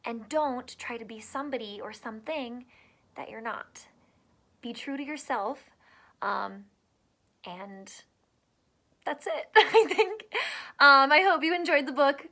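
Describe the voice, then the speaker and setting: A woman teacher reading a storybook aloud to children, expressive, with dramatic pauses and emphasis. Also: sits high.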